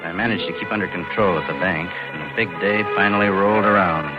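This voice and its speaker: Low-voiced scheming American bank clerk acted by a man. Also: lightly acted. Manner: hard-boiled, slangy, persuasive. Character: scheming, slangy male bank clerk plotting a theft. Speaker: lead actor and first-person narrator. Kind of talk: 1940s American radio crime drama acting. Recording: dull vintage 1940s radio recording with music.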